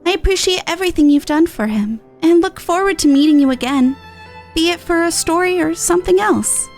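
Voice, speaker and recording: A woman voicing a gracious, caring older sister from a fantasy game, with formal, warm delivery. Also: very high-pitched, animated, fairly quick, pitched above the performer's own voice. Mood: grateful. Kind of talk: reading game dialogue. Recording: music in the background.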